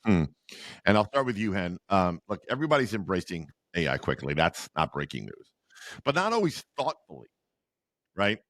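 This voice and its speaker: Low-ish guy hosting a podcast, super animated up-and-down delivery, jokey about himself. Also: moderate pace. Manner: lively, self-deprecating about name pronunciations. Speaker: podcast host. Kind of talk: interview hosting.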